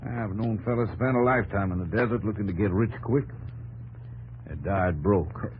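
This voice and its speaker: A man portrays a seasoned, fatherly desert rancher. The voice sits low and the manner is folksy and sure of itself. It comes from an old radio broadcast with faint noise. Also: normal speed, lightly acted, rough recording. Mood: plainspoken. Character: seasoned older male rancher, fatherly, self-assured desert settler. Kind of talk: 1950s radio acting.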